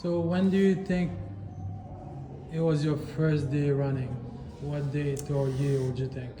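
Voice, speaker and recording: A man's voice: on the high side, little pitch movement, measured pace, crowd noise. A man interviewing a runner, asking brief, upbeat questions. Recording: echoey, reverberant with music behind.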